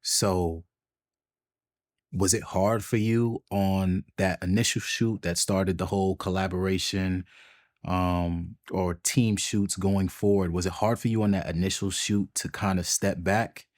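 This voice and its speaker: A low-voiced man hosts a relaxed podcast and asks questions in an easygoing, slangy way. He was born in Brooklyn and raised in Florida. The sound is dry and close to the mic. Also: a little slow, dry recording. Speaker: podcast host. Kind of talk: casual podcast conversation.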